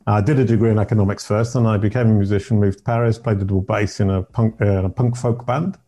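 Man, deep mellow voice, middle-aged, from Yorkshire, rambling reflective storyteller. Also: steady tone, quick pace. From Yorkshire, England. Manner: rambling, self-correcting, reflective. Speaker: podcast guest. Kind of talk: casual interview storytelling.

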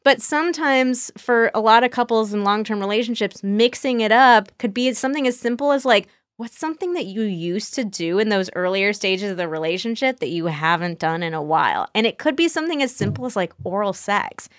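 A woman's voice: mid-range voice, animated, fairly quick, hardly any pauses, no room sound, studio quality. A woman speaking as a therapist guest on a podcast, giving candid, conversational advice with casual examples.

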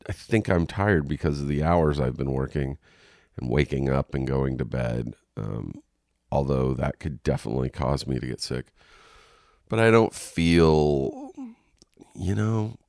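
Congested male podcast host, nasal and gravelly, very low, unhurried rambling delivery. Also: darkish tone, no room sound, clean studio sound. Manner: rambling, frank, with frequent ums and restarts. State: congested and coughing. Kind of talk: casual solo podcast monologue. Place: home.

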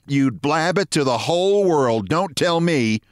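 A man voicing a sharp-tongued middle-aged wife who scolds and mocks her husband. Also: on the high side, animated, a little slow, no room sound, studio quality, voice pushed up. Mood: scornful. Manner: scolding, sarcastic.

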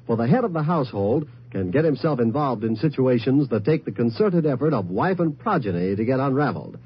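A man's voice: mid-range voice, breathy edge. A man announcing programs in a scripted, promotional 1950s network radio style. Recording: muffled vintage radio broadcast.

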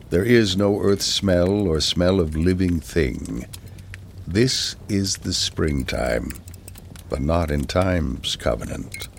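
Very deep, gravelly man reciting poetry. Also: a little hiss or hum. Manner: measured, deliberate phrasing with weighty pauses. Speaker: poetry reader.

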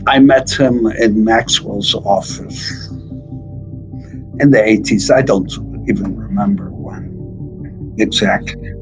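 A man answering interview questions slowly, with hesitant fillers and restarts. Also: lowish voice, over music, low-quality recording. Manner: slow, halting, with many fillers. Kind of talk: recorded interview.

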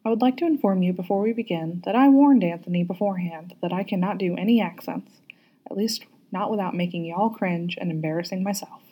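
A woman narrating a story aloud as a guest reader, with an expressive, teacherly way of addressing listeners. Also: lowish voice, brisk, breathy edge, poor audio.

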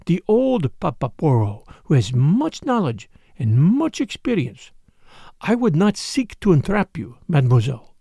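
A man's voice: high-pitched, huge pitch swings, dry recording, pitched above the performer's own voice, heavily acted. A man voicing an elderly, courteous detective in a storybook, with a formal, pleading manner. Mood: gentle coaxing. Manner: formal, pleading, dramatic pauses. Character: elderly Belgian male detective, courteous, grave, insistent.

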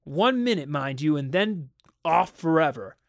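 A man voicing an exasperated, stern father in a dramatic story reading, forceful and insistent. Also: fairly high pitch, animated, measured pace, vocal fry, no room sound, clean studio sound. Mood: exasperated, firm. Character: exasperated stern father, fed up, barking orders.

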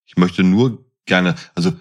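A man's voice: low-pitched, measured pace. A man chatting casually as a podcast co-host, explaining and asking probing questions.

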